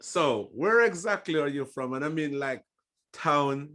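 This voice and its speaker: A man's voice: on the high side, animated, measured pace, bright, forceful tone. A man hosting a podcast, effusive and loose, with lots of fillers as he talks. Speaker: podcast host. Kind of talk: casual interview chat.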